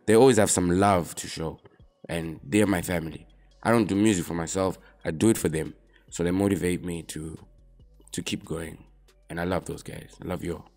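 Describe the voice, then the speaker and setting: Young rapper, low-pitched and easygoing, talking straight to camera over soft music. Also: male voice. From Zimbabwe. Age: young adult. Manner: relaxed, laid-back, drops in "man" often. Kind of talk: casual talk to camera answering questions.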